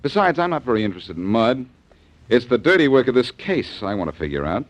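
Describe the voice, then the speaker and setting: A man's voice: medium pitch, big pitch swings, fast, noisy background, lightly acted. A man playing a cocky, wisecracking private detective in a 1940s radio drama, sardonic and needling. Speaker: detective lead. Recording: dull old radio recording.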